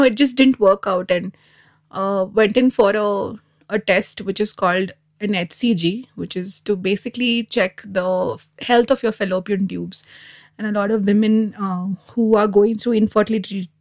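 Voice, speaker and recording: A woman's voice: mid-range voice, steady tone, moderate pace. A woman in her thirties from Dubai, a podcast guest sharing personal experiences in a candid, reflective way. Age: 30s. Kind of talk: personal storytelling in an interview.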